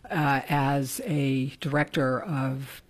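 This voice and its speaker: A woman's voice: very deep voice, fairly even intonation, slow. A middle-aged woman from Venezuela, a political candidate, talking about her life with self-deprecating jokes. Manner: self-deprecating, joking, fluent. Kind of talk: interview guest.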